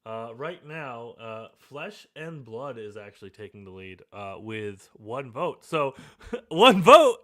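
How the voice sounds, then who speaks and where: A man hosting a podcast after-show, chatting loosely with plenty of fillers. His voice has a bright, forward edge at a middle pitch. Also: slow pace, hardly any pauses. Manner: relaxed, rambling, many fillers. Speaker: podcast host. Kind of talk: casual after-show chat.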